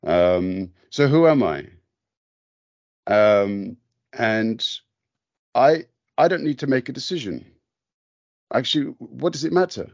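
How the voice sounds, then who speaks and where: A man from the UK, talking thoughtfully on a podcast, pausing and restarting often. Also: mid-range voice, expressive, vocal fry, a little mellow, no echo, highs cut off. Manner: thoughtful, hesitant, many restarts. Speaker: podcast host. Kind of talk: reflective conversation.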